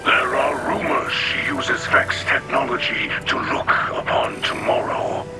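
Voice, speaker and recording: A man playing a solemn, devout alien elder in a video game, speaking formally and haltingly in a breathy rasp. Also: mid-range voice, natural voice. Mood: grave, hopeful. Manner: formal, deliberate, halting phrasing. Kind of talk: cinematic video game dialogue. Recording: loud music behind the voice. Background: loud game soundtrack.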